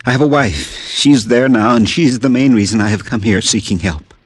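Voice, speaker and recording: An actor playing a sickly, desperate farmer from Haiti in an old radio drama, halting, earnest and polite. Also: male voice, medium pitch, husky, no echo, lightly acted. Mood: weary, pleading. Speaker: actor in a supporting role. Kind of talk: 1940s-50s radio drama acting. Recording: old radio recording, dry studio.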